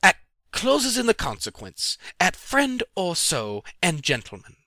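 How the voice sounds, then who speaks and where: An actor playing a dutiful, hesitant and deferential servant in a dramatic Shakespeare reading. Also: male voice, high-pitched, huge pitch swings, measured pace, pushed and bright, no echo, full character voice. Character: dutiful, deferential male servant questioning his master.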